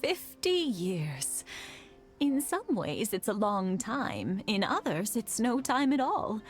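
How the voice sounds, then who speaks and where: An actress playing a warm elderly wife in a video game, gently teasing and motherly. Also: on the high side, sing-song, takes it slowly. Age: older. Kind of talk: game voice acting. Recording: game dialogue with music behind.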